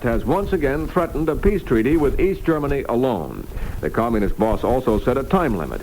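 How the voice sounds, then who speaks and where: A male news anchor from 1960s radio reads scripted copy. His mid-pitched voice swings widely in intonation, on a vintage AM aircheck recording. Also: darkish tone, slight background noise, rough recording.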